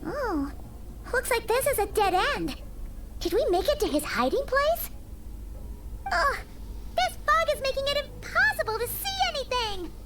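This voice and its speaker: Very high, squeaky fairy companion. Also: female voice, unhurried, long pauses, heavy background noise, heavily acted. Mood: fretful, puzzled. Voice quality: squeaky, childlike. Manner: excitable, fretful. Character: tiny, excitable, childlike floating fairy companion. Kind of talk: scripted video game voice acting.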